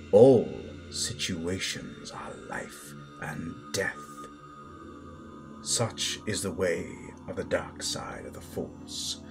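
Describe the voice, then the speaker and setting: A man playing a stern, menacing Sith master who instructs his apprentice in a deliberate, grandiose manner. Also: sits low. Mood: menacing, commanding. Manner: deliberate, grandiose, pausing for weight. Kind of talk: dramatic audio roleplay monologue. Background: loud background music.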